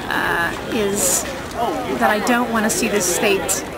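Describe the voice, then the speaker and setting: A woman from Lincoln at a rally, explaining her opposition in a measured way with fillers. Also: medium pitch. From Lincoln, Nebraska. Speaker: rally participant. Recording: rally with loud crowd.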